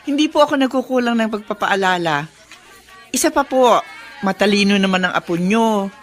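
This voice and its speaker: An actress playing a dutiful, gentle middle-aged mother in a radio drama. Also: medium pitch, animated, normal speed, faint music behind, lightly acted. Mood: respectful, reassuring.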